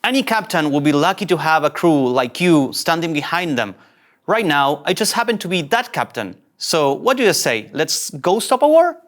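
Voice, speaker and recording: An actor playing an insecure, excitable fake starship captain, earnest and grandiose yet easily flustered, at a sci-fi table read. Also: mid-range voice, moderate pace, lightly acted. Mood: rallying.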